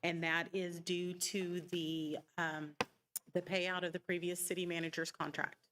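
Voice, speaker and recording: A woman's voice: on the low side, little pitch movement, unhurried. A woman city finance official giving a matter-of-fact, explanatory budget presentation at a council meeting. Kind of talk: formal public budget presentation. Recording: noisy public meeting recording with clicks.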